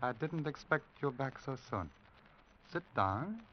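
An actor playing a greedy, evasive crooked bar owner in a 1940s radio drama. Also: male voice, medium pitch, lively intonation, measured pace, slight background noise, close to an everyday voice. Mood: evasive, dismissive. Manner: evasive, smoothly haggling. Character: slippery, greedy male crooked dive owner. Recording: muffled old radio studio recording.

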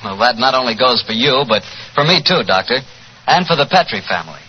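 American guy, old time radio announcer, polished, pitch swings a lot, lo-fi 40s broadcast sound. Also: lively intonation, brisk, a little hiss or hum. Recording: band-limited old radio recording.

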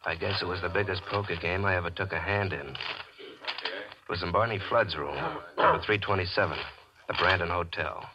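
A man's voice: sits low, normal speed, close to an everyday voice. An actor playing a bitter, jilted small-time gambler who tells his own story in hardboiled 1940s radio style. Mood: tense, brooding. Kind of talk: 1940s radio acting, first-person crime narration. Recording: dull vintage radio recording, faint music.

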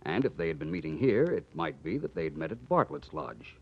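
An actor playing a suave, self-assured private detective, polished in manner, in a 1940s radio drama. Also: male voice, deep voice, very animated, brisk, slightly rough, exaggerated voice. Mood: explaining. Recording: dull 1940s radio recording.

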